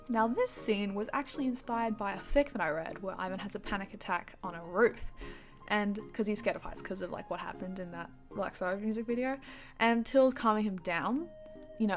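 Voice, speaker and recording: A young woman narrating her own art project in a casual, self-deprecating voice-over, often playing up the drama. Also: medium pitch. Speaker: animatic creator.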